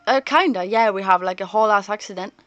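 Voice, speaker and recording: A woman live streamer chatting casually while playing a story game, rambling and halting as she thinks out loud, with game music behind her. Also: medium pitch, dry recording, dull audio.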